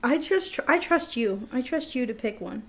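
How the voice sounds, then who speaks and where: A woman's voice: fairly high pitch, lively intonation, moderate pace. A young adult woman co-hosting a podcast in casual chat, playful, giggly and teasing. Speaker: podcast co-host.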